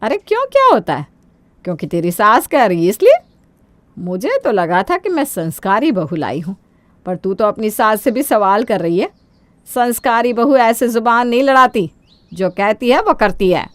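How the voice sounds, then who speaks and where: A woman's voice: on the high side, sing-song, moderate pace, dry recording. Woman voicing a bossy, scolding older mother-in-law. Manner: domineering, sharp-tongued commands.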